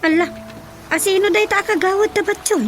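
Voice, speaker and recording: A woman's voice: extremely high pitch, steady tone, normal speed, slight background noise. An actress with an Ilocano accent playing a chatty village woman in a radio comedy drama. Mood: inquiring.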